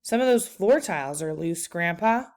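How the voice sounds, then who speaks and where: A woman's voice: on the low side, lively intonation, normal speed, a little mellow, lightly acted. A woman voicing a cautious, doubtful young grandson in a storybook, in a plain, hesitant, questioning manner.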